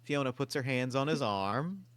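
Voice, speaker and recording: A man's voice: mid-range voice, expressive, normal speed, a bit bright, clean tone, dry recording. A man reading stage directions aloud at a table read in a plain, matter-of-fact way.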